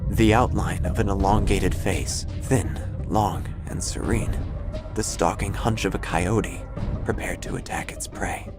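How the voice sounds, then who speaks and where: A man narrating a scripted first-person story in a theatrical, wry manner with deliberate dramatic phrasing. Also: fairly low pitch. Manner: theatrical, wry, deliberate pauses, drops to hushed tones. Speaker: narrator, obituary writer character. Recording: music bed behind the voice. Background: background music.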